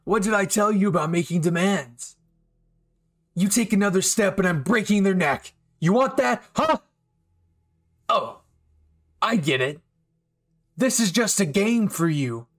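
Casting: man to play bitter, unhinged male anime villain. Slow, taunting, volatile, in roleplay audio. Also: high voice, lively intonation. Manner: menacing, taunting, swinging from quiet to outbursts.

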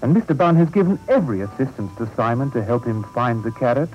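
A man's voice: medium pitch, sing-song, fast talker, muffled recording, soft background music, pitched above the performer's own voice, natural voice. A man playing an earnest, polite young male helper who speaks apologetically.

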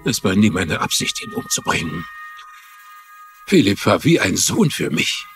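A man's voice: medium pitch, wildly swooping pitch, measured pace, vocal fry, fairly bright tone, soft background music, heavily acted. A man playing a flustered, sentimental older business executive in a dubbed TV drama, hesitant and earnest. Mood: pained, pleading.

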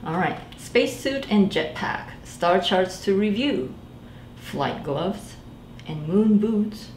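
A woman in her forties, a children's librarian, reading a picture book aloud in a gentle sing-song way and asking the children to guess. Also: medium pitch, animated, slow pace, reverberant, slight background noise. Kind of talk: storytime read-aloud for young children. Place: small library room indoors.

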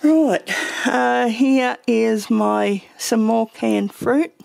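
Woman vlogger, slow and gravelly, mellow tone; casual home pantry tour, filmed handheld. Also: on the high side, rough recording. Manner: slow, meandering, thinks aloud with fillers. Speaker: homesteading vlogger.

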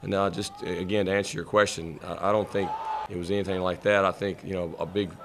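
Middle-aged man, deep voice, head coach, postgame press conference, halting, repeats words. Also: level tone, noisy background. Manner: measured, halting, repeats words while thinking. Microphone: table mic.

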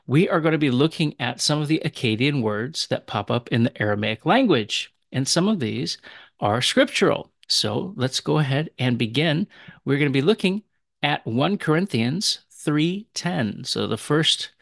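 Gravelly man teaching a language lesson. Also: medium pitch, fairly bright tone, dry recording, clean studio sound. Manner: explanatory, chatty. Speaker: teacher of Aramaic.

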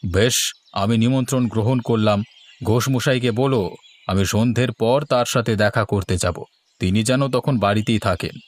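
A man's voice: medium pitch, moderate pace, close to an everyday voice. A man playing a courteous, self-assured city official in a cartoon, speaking politely and formally. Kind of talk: cartoon dialogue.

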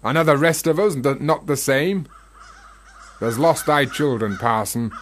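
An actor playing a bitter, obsessive gravedigger in a radio play, brooding and rhetorical, almost incantatory. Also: male voice, mid-range voice, measured pace, a little hiss or hum, natural voice. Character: bitter, obsessive philosophical gravedigger, a working man. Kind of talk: radio play monologue acting.

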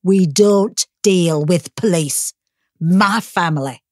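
A woman's voice: on the low side, slow pace, no echo, clean studio sound. An actress playing a hard, defiant mother in an audiobook drama, scornful and firm. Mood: bitter, defiant.

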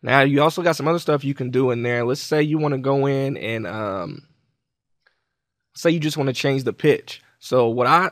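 A man's voice: medium pitch, no echo. Man giving a casual tutorial, dry close recording. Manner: informal, conversational, explains step by step. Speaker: tutorial presenter. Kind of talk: casual software tutorial walkthrough.

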